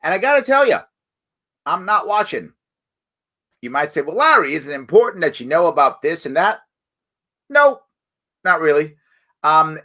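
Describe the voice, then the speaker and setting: A man hosting a live stream, talking casually and reading viewer comments, his voice raspy and a bit hoarse from weeks of campaigning. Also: a little high. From New York State. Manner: conversational, animated, frequent fillers and restarts. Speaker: show host.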